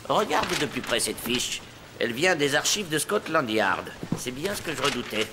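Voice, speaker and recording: A man's voice: medium pitch, very animated, normal speed, close to an everyday voice. An actor playing a feisty, fatherly newspaper director in a French cartoon, speaking emphatically, with noise in the recording. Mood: grave.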